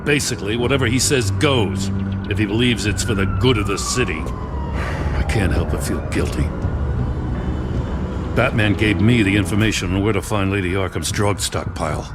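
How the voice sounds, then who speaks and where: A man's voice: on the low side, moderate pace, lightly acted. An actor playing a dutiful middle-aged male police lieutenant in a video game, earnest and frustrated. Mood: weary, troubled. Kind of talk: video game dramatic acting. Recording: music behind the voice.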